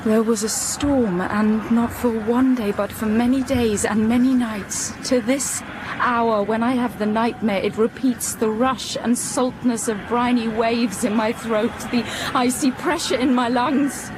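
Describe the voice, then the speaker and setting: An actress playing a reserved, melancholy young gentlewoman, narrating her life in a measured way in a literary audio drama. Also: a little high, fairly even intonation, background noise, close to an everyday voice. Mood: sombre, reflective. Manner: measured, sombre. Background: music.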